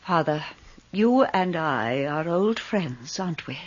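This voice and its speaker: An actress playing a proud, stubborn mistress of a haunted house in a radio drama, with a firm, formal manner. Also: female voice, deep voice, animated, slow pace, heavily acted. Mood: cool, commanding. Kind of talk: 1980s radio drama acting. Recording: slightly dull 1980s radio recording.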